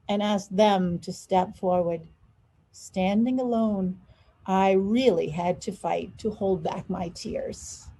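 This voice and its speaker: A woman narrating a children's storybook expressively, with deliberate, drawn-out emphasis on key words. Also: medium pitch, warm tone, low-quality recording. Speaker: school superintendent reading a children's story aloud.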